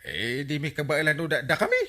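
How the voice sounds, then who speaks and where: A man acting as a bossy, excitable village drinking buddy in an Ilocano comedy radio drama, needling and full of exclamations. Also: on the high side, a little fast. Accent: Filipino, Ilocano.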